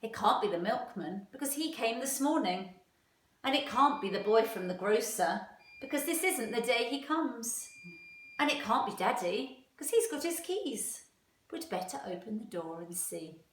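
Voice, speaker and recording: A woman's voice: fairly high pitch, expressive, moderate pace, slight background noise, natural voice. A woman voicing a polite, welcoming mother in a children's storybook, with a singsong way of talking. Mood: puzzled. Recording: roomy echo, distant microphone.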